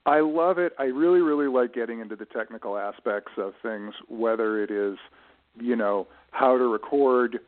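A mature man speaks as an interview guest in a conversational, reflective way, pausing and stressing key words. His mid-range voice has the narrow band of a phone call. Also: medium pitch. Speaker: interview guest, author and producer. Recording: narrow tinny phone line.

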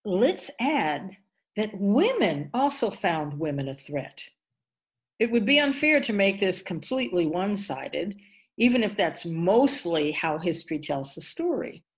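A woman as a slow, solemn spirit guide. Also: medium pitch, very animated. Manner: deliberate, measured. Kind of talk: radio drama dialogue.

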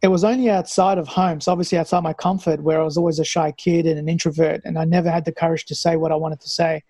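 A man's voice: a little high, flat delivery, quick pace, darkish tone, dry recording. A man, an interview guest reflectively telling his own story in a conversational way. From Australia, born in Afghanistan. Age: 40s. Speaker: interview guest, health practitioner and mentor.

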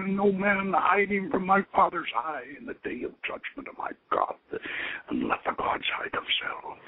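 A man giving a solemn, theatrical reading of mystical, archaic scripture in an incantatory manner, with a husky, gravelly voice and dull lo-fi sound. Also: fairly high pitch. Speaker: reader of an occult ritual text.

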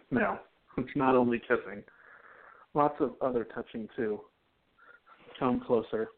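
Man acting an ardent, lively-pitched 1920s sweetheart. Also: measured pace, slight background noise. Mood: tender. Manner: emphatic, romantic. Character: devoted, ardent young 1920s newspaperman in love. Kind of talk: radio play acting. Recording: tinny phone-like line.

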